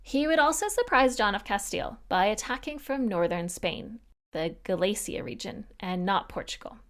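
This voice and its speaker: A woman host narrates history from a script at a middle pitch, delivering it evenly. She slips in wry, dry asides to the listener as she goes. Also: lively intonation, normal speed, fairly bright tone. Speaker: podcast host.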